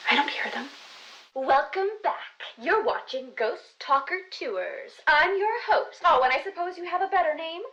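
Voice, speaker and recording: A woman playing an excitable, easily scared young best friend in a video game, with casual, dramatic, naturalistic delivery. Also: sits high, normal speed, dry recording, lo-fi sound. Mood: teasing, playful. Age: young adult. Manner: casual, expressive, sighing, dramatic.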